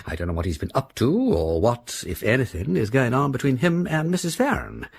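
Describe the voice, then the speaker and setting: A jovial upper-class English gentleman detective in a radio play. His voice swoops widely with a slightly husky grain. He rambles breezily and repeats phrases for emphasis, sounding sillier than he is. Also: mid-range voice, big pitch swings, brisk, exaggerated voice. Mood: thoughtful, intent. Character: jovial, chatty aristocratic English gentleman detective, deceptively silly. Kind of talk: radio drama acting.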